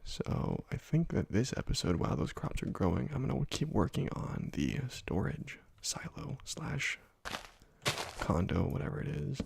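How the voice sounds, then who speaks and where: A young man softly whispering slow, relaxed commentary while playing a video game, close to a desk microphone. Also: medium pitch, gravelly, background noise. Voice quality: soft whispering, often unvoiced. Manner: slow, relaxed rambling between quiet pauses. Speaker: let's play host. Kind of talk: ASMR gameplay commentary. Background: faint keyboard and mouse clicks.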